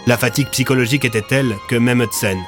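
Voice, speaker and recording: A man narrates an audio drama in a scripted, dramatic style. His voice sits at a medium pitch, with music clearly playing behind him. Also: fairly even intonation, quick pace. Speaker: narrator of an audio fiction series.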